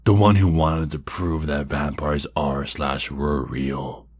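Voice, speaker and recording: A man playing a taunting, sinister centuries-old vampire kidnapper in an ASMR roleplay, with a breathy, husky voice and slow, mocking menace. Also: a bass voice, fairly even intonation, heavily acted.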